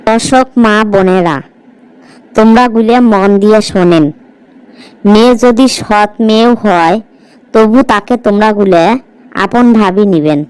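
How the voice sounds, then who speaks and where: A woman's voice: mid-range voice, a little slow, long pauses, a little mellow, smooth, close to an everyday voice, rough recording. A woman voicing a bossy village stepmother in a cartoon story, speaking with a northern Bangladeshi accent. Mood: earnest advice. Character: harsh, scolding village stepmother who favours her own daughter. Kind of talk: amateur cartoon story voice acting.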